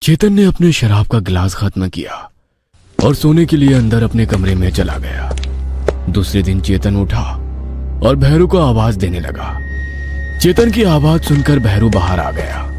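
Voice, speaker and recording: A man's voice: lowish voice, very animated, a little slow. Male horror story narrator over music.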